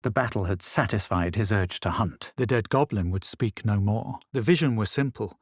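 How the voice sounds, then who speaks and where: A man playing a self-assured, wary fantasy adventurer in a video game, speaking in a measured, deliberate way. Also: lowish voice, no echo, studio quality. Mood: measured narration. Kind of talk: video game voice lines, narration and barks.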